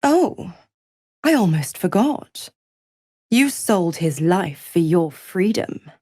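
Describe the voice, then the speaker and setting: A sly, gloating she-devil for a video game, who toys with her victims. She draws words out at a leisurely pace and swoops theatrically through her pitch, dripping mocking condescension. The recording is close and dry. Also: big pitch swings, slow, darkish tone, no room sound, heavily acted. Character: gloating, seductive female devil, mocking pact-holder. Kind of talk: video game character acting.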